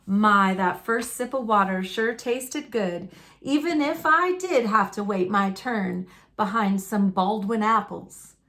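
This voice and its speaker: A woman reading a children's picture book aloud as a storyteller, in an expressive, folksy and dramatic manner. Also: mid-range voice. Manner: folksy, dramatic, deliberate delivery.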